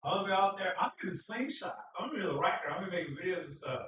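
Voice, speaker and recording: A man co-hosting a casual motorcycle live stream, joking and teasing with loud bursts. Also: high-pitched, lively intonation, rapid-fire, slight background noise. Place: garage. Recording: echoey garage, far from mic.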